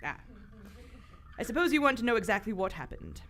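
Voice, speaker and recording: Poised, animated lady adventurer played by an actress. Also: on the high side, a little fast. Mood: sombre, reflective. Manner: dry, composed, commanding. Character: poised, wry aristocratic British woman explorer, brave leader. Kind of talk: live radio theater acting. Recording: live stage recording with faint noise.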